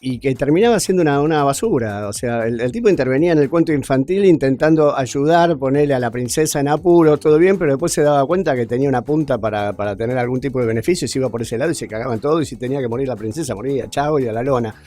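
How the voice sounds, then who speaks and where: A man's voice: mid-range voice, quick pace, few pauses, warm tone. A man with an Argentine accent recalling radio comedy work in a casual, blunt, colloquial way. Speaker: former radio comedy performer. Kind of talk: casual recollection in an interview. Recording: music under the voice.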